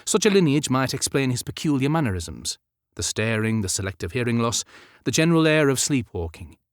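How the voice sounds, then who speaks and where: A man's voice: medium pitch, very animated, a little fast, no echo, studio quality. A man narrating a period romance audiobook as scripted fiction.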